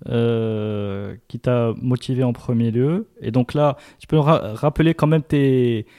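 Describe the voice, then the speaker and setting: A man's voice: medium pitch, fairly even intonation, a little slow, warm tone, dry recording, studio quality. A man interviewing a guest in a conversational way, hesitant and full of short back-channel replies.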